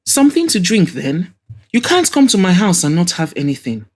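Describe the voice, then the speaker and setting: A woman's voice: on the low side, very animated, moderate pace, husky, voice pushed up. A woman voicing an effusive, nostalgic older mother and hostess in an audio drama. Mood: effusive, welcoming. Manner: effusive, exclaiming, reminiscing.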